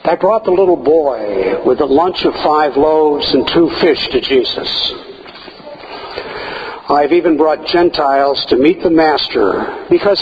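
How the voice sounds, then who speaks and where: A man playing a humble, earnest younger-brother disciple in a church dramatic monologue, with a measured delivery. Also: fairly high pitch. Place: church, live performance. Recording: muffled, clearly noisy live church recording.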